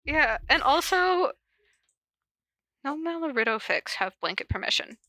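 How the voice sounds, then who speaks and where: Woman, bright voice, slightly high pitch, casual podcast chat, giggly, playful. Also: very animated, unhurried, no echo. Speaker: podcast host. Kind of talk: casual podcast chat with reading aloud of notes.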